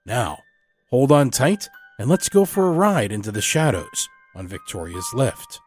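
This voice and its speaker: A man's voice: medium pitch, big pitch swings, a little slow. A man hosting an audio drama podcast, reading a scripted introduction to the episode. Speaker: podcast host. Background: music.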